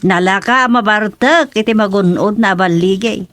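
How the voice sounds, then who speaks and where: A woman's voice: medium pitch, moderate pace, fairly bright tone, natural voice. A woman acting a chatty, teasing townswoman in a radio comedy drama. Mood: wry. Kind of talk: radio serial comedy drama dialogue in Ilocano.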